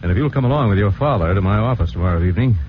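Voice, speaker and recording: An actor playing an assured, courteous American newspaper editor in a 1940s radio drama, businesslike and polite. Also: male voice, fairly low pitch, animated, rapid-fire, lightly acted. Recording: muffled, faintly noisy old radio recording.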